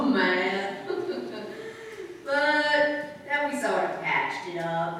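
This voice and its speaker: A woman's voice: mid-range voice, pushed and bright. A woman playing a proud, chatty, folksy sailor's mother with a Southern American accent in a radio drama. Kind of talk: live old-time radio drama acting. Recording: distant, very echoey hall, faint noise.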